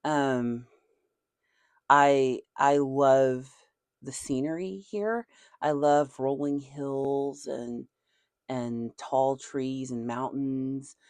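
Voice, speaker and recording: A speaker from Nashville, Tennessee, with a softened Southern accent, chatting casually and animatedly and laughing often. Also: medium pitch, fairly even intonation, extremely slow, no room sound. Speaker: video creator. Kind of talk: casual chat.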